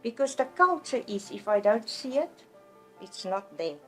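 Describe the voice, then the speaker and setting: A woman's voice: on the high side, very animated, measured pace, breathy edge, faint music behind. A middle-aged woman from South Africa with an Afrikaans accent, telling her own story in an interview in an earnest, plainspoken way, often restarting words. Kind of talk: personal testimony in an interview.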